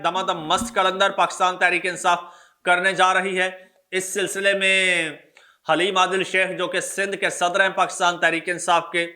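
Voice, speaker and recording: Bright, high-voiced Pakistani man delivering news commentary. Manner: emphatic, repeats phrases for stress. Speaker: political news vlogger, host. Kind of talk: news commentary addressed to viewers.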